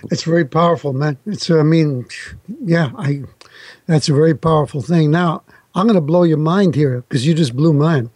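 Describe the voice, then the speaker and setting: A man's voice: fairly high pitch, moderate pace, darkish tone, dry recording. A man from New York whose first language is Italian, hosting a casual interview in a chatty, friendly way. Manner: chatty, friendly, filler-heavy. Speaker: interview host.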